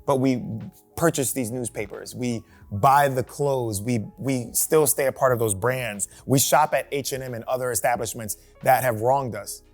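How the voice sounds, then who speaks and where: A man moderates a group discussion, putting questions to the panel with lively emphasis. His voice sits in a middle range. Faint music plays underneath. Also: mid-range voice, moderate pace. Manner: animated, poses questions, frequent "right?". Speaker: talk show host.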